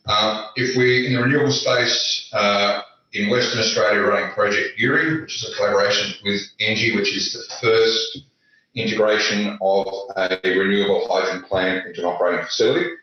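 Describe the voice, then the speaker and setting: A low-pitched man gives his panel remarks over a video call. He talks haltingly and keeps restarting with fillers. The sound is dull and lo-fi, with heavy room echo. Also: fairly even intonation, pushed and bright. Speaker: panelist, industry executive. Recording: echoey, dull lo-fi audio.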